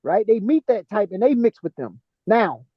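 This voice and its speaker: Podcast co-host, male, high voice, eager explainer, dry close mic, muffled lo-fi sound. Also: normal speed, dry recording. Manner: excited, talks through genetic terms with restarts. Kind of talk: casual discussion of genetic ancestry.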